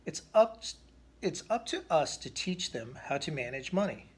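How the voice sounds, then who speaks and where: A man voicing a calm, sensible mother bear from a storybook, reasoning in a measured way. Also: a little high, breathy edge.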